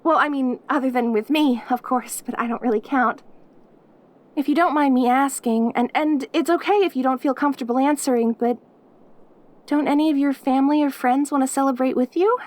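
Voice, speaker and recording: A woman's voice: high-pitched, a little fast, close to an everyday voice. A woman acting a lonely, clingy caged demon girl in an audio roleplay, perky and cutesy, gushing and stammering at the listener. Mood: shy, hesitant.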